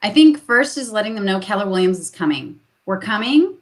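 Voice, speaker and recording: A woman's voice: mid-range voice, big pitch swings, brisk. A woman talking business as a guest on a video call, upbeat and full of fillers, repeating her phrases for emphasis.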